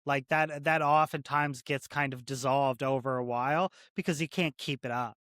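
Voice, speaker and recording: A man's voice: medium pitch, steady tone, normal speed, a bit bright, dry recording, clean studio sound. A man in his thirties from Canada, a corrections officer guest talking casually and rambling, with many "like" and "right?" fillers. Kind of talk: casual interview conversation.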